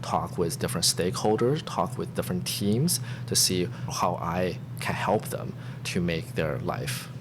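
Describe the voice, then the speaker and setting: A man's voice: low-pitched, measured pace, few pauses. A man in his thirties whose first language is not English, answering interview questions earnestly with some restarts. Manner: earnest, reflective, with frequent restarts. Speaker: podcast guest, staff software engineer. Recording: steady background noise.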